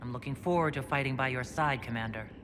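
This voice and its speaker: A composed heroic leader in a fantasy game , acted by a man. The male voice is mid-pitched, calm and direct. There is clear noise in the background. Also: quick pace, natural voice. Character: composed male hero leading a fantasy inquisition. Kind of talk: dramatic video game dialogue.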